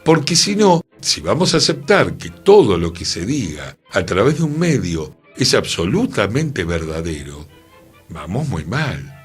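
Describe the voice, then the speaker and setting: A man with a Buenos Aires accent talking reflectively on a radio culture show, deliberate and opinionated. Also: lowish voice, sing-song, breathy edge, faint music behind. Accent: Buenos Aires Argentine.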